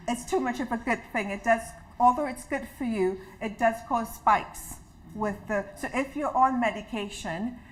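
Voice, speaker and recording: A woman's voice: fairly high pitch, a little hiss or hum, low-quality recording. Chatty woman giving a health talk. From Trinidad. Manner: warm, chatty, personal, restarts often. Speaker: health educator. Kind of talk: interactive health talk to an audience. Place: community hall with a live audience.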